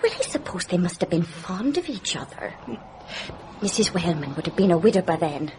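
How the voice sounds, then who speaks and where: A woman's voice: on the low side, very animated, fairly quick, background noise. A woman playing an eager, chatty gossip in a radio mystery drama. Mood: eager, confiding.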